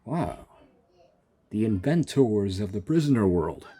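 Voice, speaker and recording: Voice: medium pitch, big pitch swings, a little slow, dark tone, slightly rough. A streamer giving casual, sarcastic commentary while reacting to a video game, talking back to the characters.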